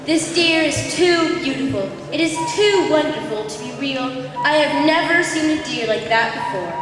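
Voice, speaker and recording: A woman's voice: sits high, lively intonation, moderate pace, crowd noise. A female performer playing a loyal, cautious young prince in a school stage play, speaking earnestly. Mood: wary. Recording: echoey noisy gym, far from mic.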